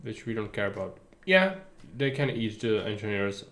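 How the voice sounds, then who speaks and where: Low-voiced, calm streamer guy. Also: normal speed. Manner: relaxed, chatty, addresses chat. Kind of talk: casual live gameplay commentary.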